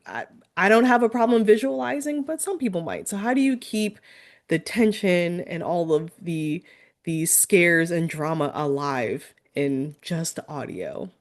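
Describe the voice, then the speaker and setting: Woman, interviewer, lowish voice, curious long questions. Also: very animated, unhurried. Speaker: interview host.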